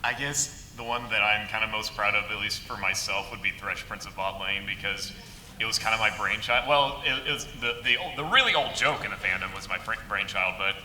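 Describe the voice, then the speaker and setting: A man's voice: fairly low pitch, fairly quick, pushed and bright, slight background noise. A man on an animation panel, talking casually with joking, modest asides. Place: large convention hall. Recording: echoey hall with long reverb.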